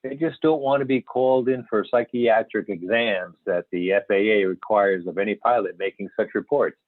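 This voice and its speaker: A man playing an earnest, assured UFO scientist in an amateur script reading, explaining emphatically with some halting stumbles. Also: fairly low pitch, lightly acted. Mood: firm, insistent. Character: male expert scientist investigating UFO reports, earnest and assured. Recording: tinny phone-like conference call, echoey room.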